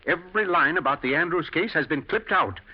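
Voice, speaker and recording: An actor playing a mild, tired older English husband who turns anxious and suspicious, in 1940s radio drama style with a British accent. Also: male voice, a little high, expressive, brisk, husky. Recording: muffled, noisy vintage radio drama recording.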